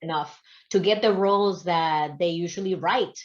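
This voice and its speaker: A woman's voice: fairly low pitch, moderate pace. A woman in her forties moderating a panel over a video call, affirming and summing up her guests' stories. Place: home office with bookshelves. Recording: dull lo-fi video call audio.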